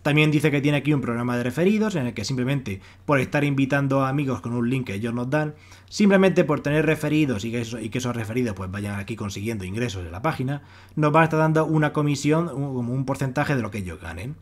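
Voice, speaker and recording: A man with a Spain accent narrates a casual on-screen walkthrough. His voice sits at an average pitch for a man. He explains things in a wordy way, circling back and restating each point. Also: lively intonation, fast talker. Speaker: YouTube presenter of money-making websites. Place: voice-over on a screen recording.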